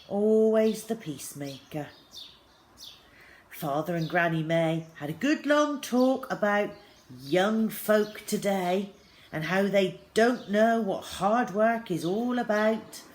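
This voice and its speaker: Low-voiced woman reading a story, noisy recording. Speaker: storyteller reading a children's novel aloud. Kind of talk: expressive first-person diary storytelling, read aloud.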